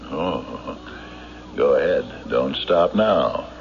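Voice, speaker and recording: An actor playing a stubborn, hard-driving sheriff in an old-time radio drama, blunt, insistent and sardonic. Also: male voice, rumbling low, lively intonation, very slow, full character voice. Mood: pressing, sardonic. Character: stubborn, hard-driving small-town sheriff, certain of guilt. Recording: noisy, muffled vintage radio broadcast.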